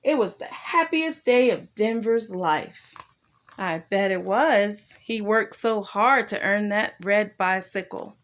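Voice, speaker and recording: Slow-paced woman reading to children. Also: mid-range voice, animated. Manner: addresses young listeners with simple questions. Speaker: children's storyteller. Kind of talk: read-aloud children's picture book narration with asides.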